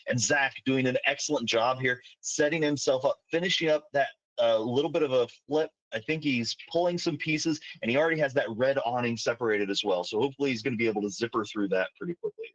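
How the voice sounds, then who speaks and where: A man giving live play-by-play commentary on a competition stream, upbeat and encouraging with quick asides. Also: mid-range voice, a bit bright, no echo. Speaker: commentator co-host. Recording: dull dry lo-fi mic.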